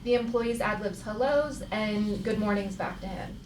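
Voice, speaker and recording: A woman's voice: mid-range voice, normal speed. A woman reading stage directions as scripted narration at a live comedy table read. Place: live theater. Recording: echoey theater, clear background noise.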